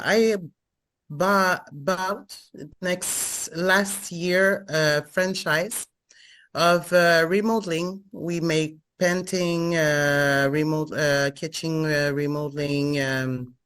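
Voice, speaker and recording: A woman with a French Canadian accent speaks at a very slow, careful pace, her voice sitting a little low. She hesitates often, filling the gaps with small fillers while she reaches for English words. It sounds like a casual introduction on a group video call. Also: steady tone, a bit bright. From Montreal, Canada. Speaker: remodeling franchise owner, meeting participant.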